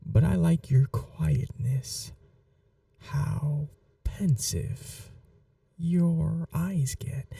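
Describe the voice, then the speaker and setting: A woman playing an aristocratic, possessive vampire mistress in a teasing, quietly menacing, breathy, intimate ASMR roleplay, often dropping to a whisper. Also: extremely low pitch, no room sound, recorded from a distance, full character voice. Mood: languid, coaxing. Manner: slow, languid, condescendingly affectionate. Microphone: close microphone, dry.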